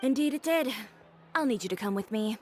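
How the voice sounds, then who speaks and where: An actress playing a blunt, cool-headed boutique owner in a game, curt, confident and wry. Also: female voice, on the high side, animated, brisk, a little mellow, a little hiss or hum, natural voice. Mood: brisk. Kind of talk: game cutscene voice acting. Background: game music.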